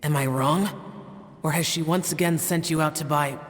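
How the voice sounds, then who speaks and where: A man's voice: on the high side, steady tone, moderate pace, natural voice. A man voicing an aloof young guardian in a video game, terse and guarded with a faint weariness. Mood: dry, reserved. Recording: long reverb, music underneath.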